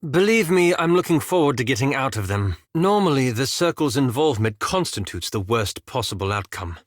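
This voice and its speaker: An actor playing a reserved, fastidious wizard in a video game, with formal, dryly sarcastic and understated phrasing. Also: male voice, medium pitch, very animated, dry recording, studio quality, lightly acted. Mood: dry, exasperated. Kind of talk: scripted video game character dialogue.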